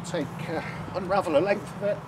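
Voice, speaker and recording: An older man presenting an informal hands-on tutorial outdoors, explaining in a halting way with hesitant pauses. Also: high voice, background noise. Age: older, about 60s. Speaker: presenter of a radio demonstration. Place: outdoors in a garden beside a field.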